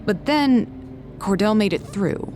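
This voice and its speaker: A woman acting a guarded, suspicious researcher in an audio drama, measured, dry and skeptical. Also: medium pitch, animated, a little mellow, slight background noise. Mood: grim, reasoning.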